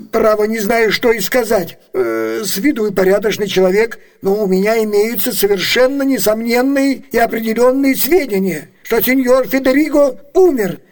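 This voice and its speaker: Comic radio role: blustering old merchant, irascible, high-pitched, spluttering and sceptical. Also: male voice, a little slow. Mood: baffled, doubtful. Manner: spluttering, exclamatory. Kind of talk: theatrical comic radio play acting.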